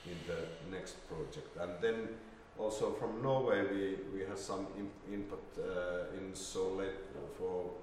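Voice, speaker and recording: A middle-aged man presents informally at a seminar, hesitant and halting. His pace is slow, and he is picked up by a distant microphone with long reverb around his voice. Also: low voice, fairly even intonation, darkish tone. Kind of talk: informal presentation with slide demonstration. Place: indoor seminar room with projector screen. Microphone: distant camera microphone. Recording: roomy, echoey, distant mic, faint noise.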